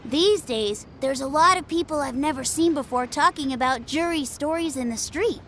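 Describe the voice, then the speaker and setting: A woman voicing an eager, boastful young child who plays at being a sword master, excitable and naive, in a video game. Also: sits high, moderate pace, slight background noise. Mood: cheerful. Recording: music clearly under voice. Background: game music.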